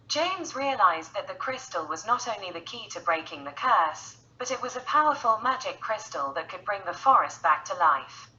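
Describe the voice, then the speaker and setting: A woman's voice: medium pitch, pushed and bright, dull audio. A woman narrating a scripted bedtime story for children in a storyteller's style.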